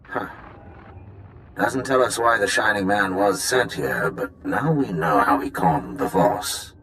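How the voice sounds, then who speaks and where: Male field agent: very low pitch, dry, informal, wry. Game dialogue, faint ambience. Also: lively intonation, measured pace, sharp tone, a little hiss or hum, heavily acted, rough recording. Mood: wary. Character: wry, matter-of-fact male undercover agent. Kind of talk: video game dialogue acting.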